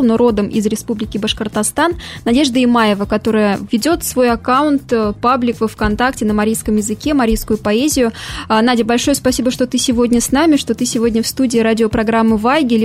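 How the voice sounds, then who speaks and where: A woman hosting a radio program, asking her guest polite, friendly questions in the studio. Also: fairly high pitch. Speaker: radio host.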